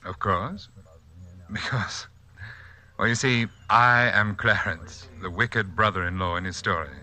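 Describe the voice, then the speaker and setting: A man playing a suave, sinister sanitarium doctor in a 1940s radio mystery, polite, composed and quietly menacing. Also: lowish voice, measured pace. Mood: suavely polite.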